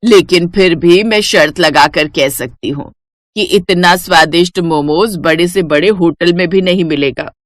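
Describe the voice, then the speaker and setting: A woman voicing a kindly, homely elderly village mother-in-law in a cartoon story, in a slow, motherly manner. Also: lowish voice, no room sound, clean studio sound, voice pushed down, lightly acted. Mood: delighted.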